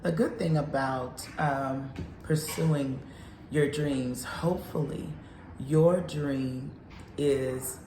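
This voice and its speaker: A woman's voice: very deep voice, steady tone, drawn-out words, mellow, reverberant, a little hiss or hum, low-quality recording. A woman in her fifties, a counselor, giving an earnest, encouraging faith talk. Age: 50s.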